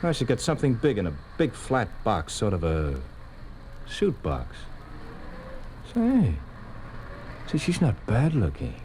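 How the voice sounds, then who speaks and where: A man's voice: mid-range voice, wildly swooping pitch, measured pace, long pauses, darkish tone. An actor playing a brash, leering secret police henchman in a 1950s radio drama, with cocky, glib, slangy delivery. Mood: sly, leering. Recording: hissy, noisy old radio recording.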